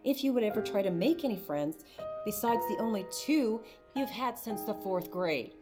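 Actress as blunt young woman, animated delivery. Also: mid-range voice, normal speed, lightly acted. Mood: cold, bitter. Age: young adult. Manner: sarcastic, confrontational. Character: blunt, scornful young woman, exasperated with her friend. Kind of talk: audio drama acting. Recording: music behind the voice.

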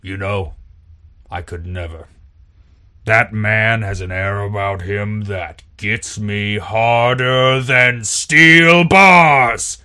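A man voicing a pompous, hugely wealthy male tycoon who talks slowly and grandly in an improvised roleplay game. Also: lowish voice, fairly even intonation, bright, forceful tone, heavily acted. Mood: smug. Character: pompous grossly rich male tycoon on a hover disc.

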